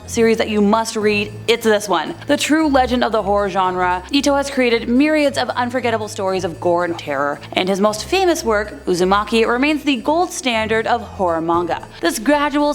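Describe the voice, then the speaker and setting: A woman with a fairly high voice hosts a countdown video. She reads scripted narration in a chatty, informal way and talks straight to viewers. Music plays behind her voice. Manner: chatty, informal, slangy, addresses viewers directly. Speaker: host of a horror countdown video. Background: background music.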